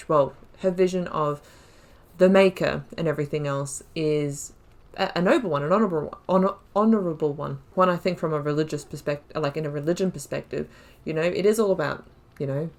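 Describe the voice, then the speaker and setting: Woman, low voice, gaming host, casual playthrough commentary, reflective, thinking out loud. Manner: rambling, reflective, thinking aloud.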